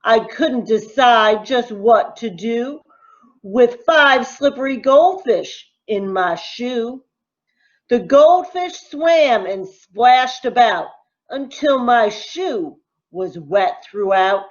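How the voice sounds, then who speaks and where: A woman teacher reading a rhyming picture book aloud to children in a deliberate, expressive, sing-song way. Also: a little high, highs cut off. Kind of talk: expressive storybook read-aloud in rhyme.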